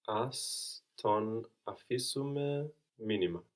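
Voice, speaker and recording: A man's voice: lowish voice, takes it slowly. A man taking a language lesson, giving short, hesitant answers that often begin with a murmured "mm". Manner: hesitant, answering with short mm's before replies. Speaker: student.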